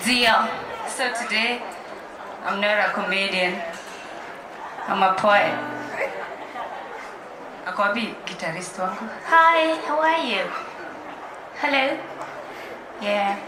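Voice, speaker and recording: Slow-talking Kenyan woman comedian. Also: medium pitch, reverberant, crowd noise, background noise. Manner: joking, self-mocking, mixing Swahili and English. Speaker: comedian and poet. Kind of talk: stand-up banter and event promotion. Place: large hall with live audience.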